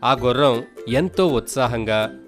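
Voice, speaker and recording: Man, children's story narrator, scripted delivery, animated pitch, mid-range voice, faint background music. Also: a little slow.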